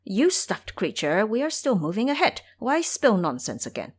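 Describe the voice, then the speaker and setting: A timid elder monk, master to his disciples, played by a woman. He frets and chides anxiously in an audio drama, his pitch moving expressively. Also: huge pitch swings, moderate pace, husky. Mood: chiding. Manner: anxious, chiding.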